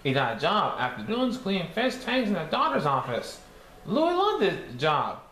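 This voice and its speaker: Cheerful cartoon sponge reading a storybook, high, wildly swooping sing-song delivery with stumbles, in an echoey room. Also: male voice, huge pitch swings, moderate pace, bright, forceful tone, heavily acted. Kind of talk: storybook read-aloud. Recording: echoey room with faint background noise.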